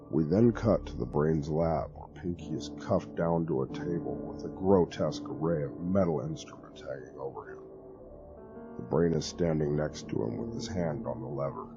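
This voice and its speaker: A man with a very deep, gravelly voice reads a horror story aloud in a grave, hushed manner. Music plays clearly behind him, and the recording sounds dull and lo-fi. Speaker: narrator. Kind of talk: dramatic horror story reading. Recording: lo-fi with music underneath.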